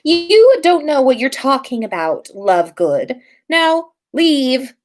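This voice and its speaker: Woman as a haughty, demanding teenage witch, high-pitched. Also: very animated, takes it slowly, a little mellow, full character voice. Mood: demanding, snappish. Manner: demanding, snappish. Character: haughty, jealous teenage pure-blood witch schoolgirl. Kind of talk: storybook character voice.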